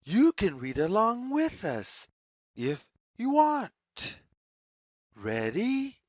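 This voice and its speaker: A woman reading a picture book aloud for young children, with deliberate, singsong phrasing and questions put to the listener. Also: medium pitch, wildly swooping pitch, no room sound, clean studio sound. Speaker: children's read-along storyteller.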